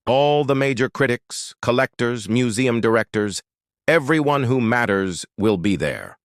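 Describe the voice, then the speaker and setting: A man's voice: lively intonation, a little slow, no room sound, studio quality. A man doing a pushy, gushing female art agent. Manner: pushy, gushing, raises pitch.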